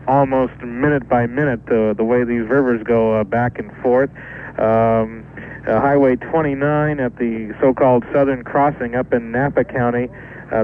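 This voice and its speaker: A man with an American accent giving a live radio news report, ad-libbing haltingly and correcting himself as he goes. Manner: halting, ad-libbed delivery with self-corrections. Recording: muffled phone line with faint rumbling noise.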